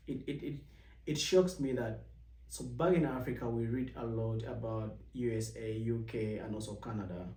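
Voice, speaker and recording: A young man from Uganda telling personal stories as a vlog host, rambling with many restarts and jumping higher when he mimics people's questions. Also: warm tone, distant mic. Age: young adult. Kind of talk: casual personal storytelling. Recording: echoey room, voice set back from the mic.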